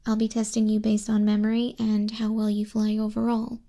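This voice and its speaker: A woman playing a stern but softening griffin flying instructor in a soft-spoken ASMR roleplay, haughty in manner, close on a binaural mic. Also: mid-range voice, completely flat delivery, fairly quick, natural voice. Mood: brisk, instructive. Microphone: close binaural microphone.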